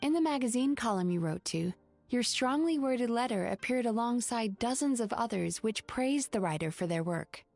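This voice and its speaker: Composed, analytical young therapist. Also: female voice, fairly high pitch, animated, a little mellow. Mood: firm, clinical. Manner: measured, clinical, articulate. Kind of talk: video game voice acting.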